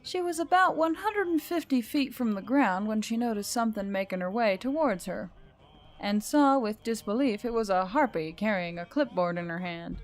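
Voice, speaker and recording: Woman doing dramatic audiobook style narration, mid pitched voice, scripted fiction. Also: expressive, normal speed. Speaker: story narrator.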